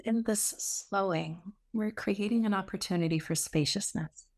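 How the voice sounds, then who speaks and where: A woman teaching meditation, giving gentle, soothing spoken instruction with unforced phrasing and long pauses. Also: mid-range voice.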